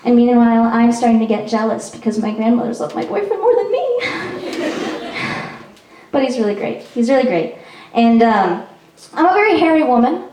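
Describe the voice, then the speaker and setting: A woman's voice: a little high, big pitch swings, moderate pace, darkish tone, far from the mic. Self-mocking young woman doing stand-up. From Peru. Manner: chatty and self-mocking, with frequent "um" and laughs. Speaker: stand-up comedian. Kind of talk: stand-up comedy set. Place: small performance venue. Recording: echoey venue recorded from afar.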